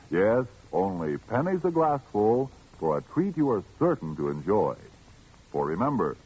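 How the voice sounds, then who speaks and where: A man reading a 1940s radio wine commercial in a smooth, persuasive manner. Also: mid-range voice, darkish tone. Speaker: commercial announcer. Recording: dull old radio broadcast with faint music.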